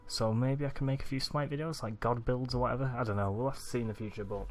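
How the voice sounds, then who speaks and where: A young man with a Manchester accent, rambling excitedly over a video game, his morning voice groggy and deep. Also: fast, soft background music. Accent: Manchester English. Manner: rambling, excitable, swears often. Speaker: let's play gamer. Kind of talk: casual gameplay commentary.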